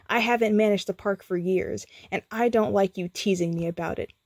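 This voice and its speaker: A woman's voice: medium pitch, fairly quick, darkish tone, no echo, studio quality, close to an everyday voice. A woman voicing a downtrodden male sweeper, defeated, resentful and curt. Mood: dejected.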